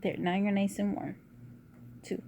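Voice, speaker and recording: A woman with a quiet, low voice chatting casually in a rambling home vlog. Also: flat delivery, measured pace, a little hiss or hum. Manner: rambling, soft-spoken, uses y'all. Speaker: vlogger. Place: at home indoors.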